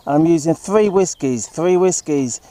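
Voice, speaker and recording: Man, slightly high pitch, dry close sound, CB operator, repetitive clear callsign delivery. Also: normal speed, mellow, no echo. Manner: repeats phrases for clarity. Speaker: amateur CB radio operator on foot. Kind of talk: CB radio call with phonetic callsigns. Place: outdoors in a park. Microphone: handheld CB radio.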